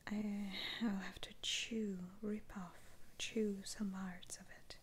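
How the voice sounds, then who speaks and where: A woman whispering in a breathy, halting, gently reassuring way, roleplaying a soothing witch doctor in an ASMR style. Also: medium pitch, level tone, slight background noise. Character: soothing, unhurried female witch doctor lifting curses. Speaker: ASMR performer.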